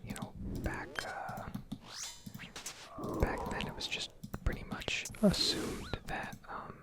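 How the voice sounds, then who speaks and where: A woman giving casual, rambling game commentary in a soft ASMR whisper, hesitating as she goes, close to the microphone. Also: takes it slowly. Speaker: gaming video host.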